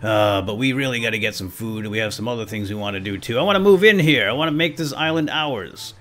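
Animated man playing and narrating a game. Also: mid-range voice. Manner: playful, exclaiming, repeats words in quick bursts. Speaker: gaming video host. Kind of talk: excitable role-play gameplay commentary.

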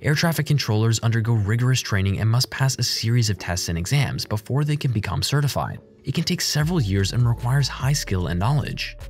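A man narrates a scripted explainer in a fairly low voice. His delivery is measured and formal, in the manner of a documentary narrator. Faint music plays behind him. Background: background music.

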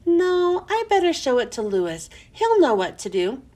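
A woman voicing an earnest, trusting schoolgirl with excited, high exclamations in a storybook reading. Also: measured pace.

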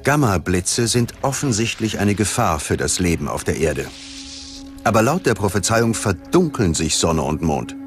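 A man reads scripted documentary narration in a measured, dramatic manner. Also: sits low, sing-song, faint music behind.

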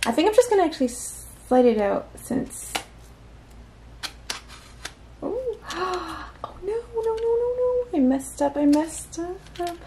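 High-pitched, giggly woman vlogger. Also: sing-song, unhurried, dark tone, slight background noise. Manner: giggly, exclaims often, talks to herself. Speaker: unboxing vlogger. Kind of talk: casual unboxing chat. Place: indoors at a tabletop.

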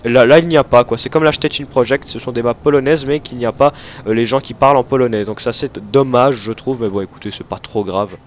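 A man's voice: medium pitch, a little fast, a little hiss or hum. Chatty young man with a stuffy nose. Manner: chatty, rambling, many fillers and self-corrections. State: stuffy nose from hay fever. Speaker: gaming video host. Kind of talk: casual gameplay commentary.

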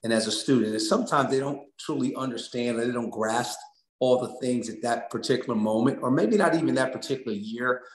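A man's voice: mid-range voice, a little fast. A middle-aged American man, a coach giving earnest, encouraging answers in a conversational interview over a video call. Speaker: interview guest, assistant basketball coach. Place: small indoor room on a video call. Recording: roomy, echoing room sound.

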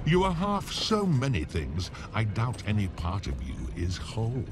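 A man's voice: lowish voice, huge pitch swings. An actor playing a mocking demonic overlord in a video game, grandiose and contemptuous as he taunts. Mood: scornful taunting. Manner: slow, taunting, grandiose delivery. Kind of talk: video game villain acting.

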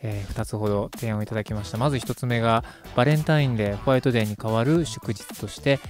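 Quick chatty guy around 30 hosting radio, polite, bit of music behind. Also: medium pitch. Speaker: radio host. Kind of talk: casual radio commentary, summing up. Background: background music.

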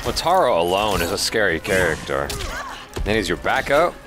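A man's voice: low voice, very animated, normal speed. A man in his thirties hosting a casual reaction show, talking into a headset mic. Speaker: reaction channel host. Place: small indoor studio. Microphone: headset microphone. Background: game soundtrack music.

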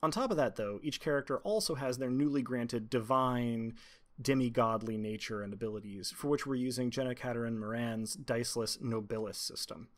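A man speaking as game master and host, introducing and narrating a tabletop game in an articulate, measured, prepared way. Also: mid-range voice.

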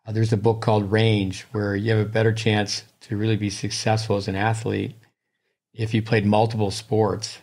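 A man with a lowish voice speaks evenly, with little rise and fall. He chats casually as a co-host, thinking out loud in a studio interview. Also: level tone, normal speed. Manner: conversational, thinking aloud. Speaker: podcast co-host. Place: podcast studio. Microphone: studio mic with headphones.

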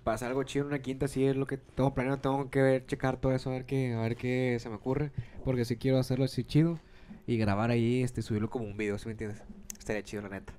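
A man's voice: medium pitch, little pitch movement, quick pace, warm tone. A man, hosting a podcast in casual, joking chat and laughing often, recorded close on a handheld mic in a small room. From Mexico. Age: 20s. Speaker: podcast host. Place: small room, seated on a couch. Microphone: handheld microphone.

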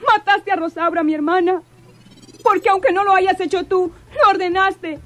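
A woman's voice: very high voice, fairly even intonation, normal speed, fairly bright tone. An actress playing a defiant, anguished woman in a melodramatic radio drama. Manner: melodramatic, emotional. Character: defiant, anguished woman facing her sister's killer.

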